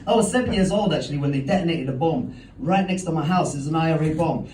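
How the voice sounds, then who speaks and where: A man works a stand-up set on a comedy club stage, his delivery punchy and self-mocking. His high voice comes from some way off the mic and echoes around the room. A steady noise and a crowd sit under it. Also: fast. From London. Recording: roomy, distant, crowd and hum. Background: audience laughter.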